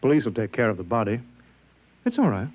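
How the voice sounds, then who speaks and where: Pompous, menacing boss, muffled old radio. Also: male voice, medium pitch, huge pitch swings, quick pace. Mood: commanding, smooth. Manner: pompous, insinuating, falsely friendly. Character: smooth menacing male political boss, sanctimonious blackmailer. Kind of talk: 1950s radio drama acting.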